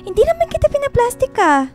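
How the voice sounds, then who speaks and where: A woman's voice: very high voice, exaggerated voice. A woman playing a bubbly, teasing, sweet young female student in a Taglish radio drama, with a playful, coaxing delivery. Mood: hurt, coaxing. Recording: music bed behind dialogue.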